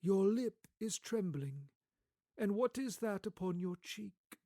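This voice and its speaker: An otherworldly spirit, childlike yet old, acted by a male reader. The voice is raised and unhurried, calm and solemn, gently giving orders in storybook radio-play style. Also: high-pitched, no room sound, studio quality, pitched above the performer's own voice, exaggerated voice. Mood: gentle, solemn.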